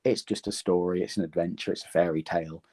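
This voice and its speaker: A man in his thirties, from Romania, with an English accent, talking earnestly and thoughtfully as an interview guest, often pausing on "um". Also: deep voice, steady tone, dark tone, husky. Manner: earnest, thoughtful, many ums. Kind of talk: reflective conversation.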